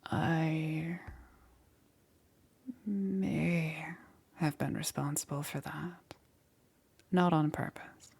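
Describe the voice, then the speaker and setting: A woman playing a soft-spoken, coaxing, quietly menacing witch in an ASMR roleplay, often dropping into a breathy, hesitant whisper. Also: sits low, steady tone, exaggerated voice. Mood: sly, wry. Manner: slow, hesitant, softly cooing.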